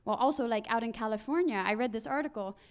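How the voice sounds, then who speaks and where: A woman speaks on a conference panel in a fairly high voice. She tells her stories briskly and acts out the voices of the people in them. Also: clean tone. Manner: animated storyteller acting out quoted people.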